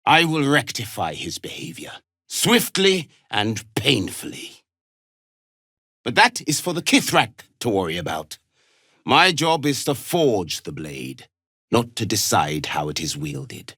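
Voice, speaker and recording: An actor playing a harsh, imperious alien warrior instructor in a video game, scornful and given to clipped commands. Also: male voice, lowish voice, sing-song, measured pace, bright, forceful tone, slightly rough, no echo, clean studio sound, full character voice. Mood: cold, menacing. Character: harsh, contemptuous alien warrior instructor drilling young recruits. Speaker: combat trainer. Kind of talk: video game character acting.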